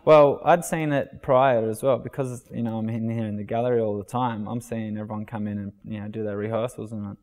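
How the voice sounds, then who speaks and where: A man's voice: on the low side, steady tone, brisk, hardly any pauses, a little mellow. A man, chatting casually and rambling a bit in an interview. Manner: rambling, casual, loose slang. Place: gallery space. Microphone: lapel mic.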